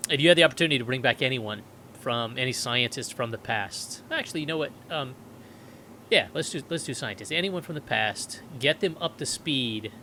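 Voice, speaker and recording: A male podcast co-host with a bright, forceful tone asks long, meandering questions. He slips in jokes between them, over faint background noise. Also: medium pitch. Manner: jokey, long winding questions. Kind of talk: casual interview talk.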